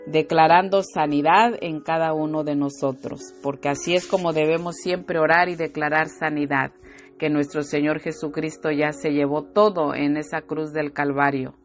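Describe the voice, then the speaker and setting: A woman preaching a devotional sermon and reading scripture, slow and fervent, with frequent hallelujahs and amens, her throat a little irritated. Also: sits low, steady tone, soft background music.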